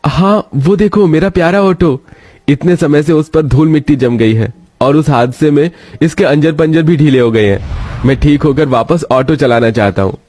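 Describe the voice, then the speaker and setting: A supportive young husband from a cartoon family tale, acted by a man. His voice is a little high for a man and sounds close and dry. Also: normal speed, a little mellow, no room sound, natural voice. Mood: wistful. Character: supportive young husband, an auto-rickshaw driver.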